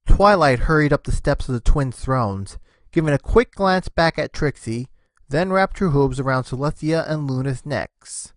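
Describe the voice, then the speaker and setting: A man's voice: medium pitch, expressive, moderate pace, dry recording. A man giving scripted storybook narration of a cartoon fan fiction.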